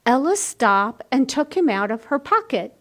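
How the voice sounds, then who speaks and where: Woman children's storytime reader: high pitch, slow, expressive, pauses to explain simply. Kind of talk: reading a picture book to young children.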